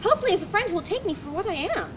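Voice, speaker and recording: A woman's voice: extremely high pitch, big pitch swings, racing, background noise. A woman reading the part of an earnest, solemn man who lives alone in the woods and writes, a little defensive. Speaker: reader in a dramatic reading.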